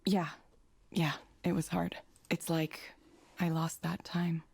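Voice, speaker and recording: A woman's voice: on the low side, level tone, takes it slowly, gravelly, a little mellow, slightly rough, heavily acted. An actress playing a withdrawn, self-doubting woman in a visual novel, speaking in a hesitant, subdued and apologetic manner. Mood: hesitant, weary. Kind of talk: naturalistic visual novel voice acting.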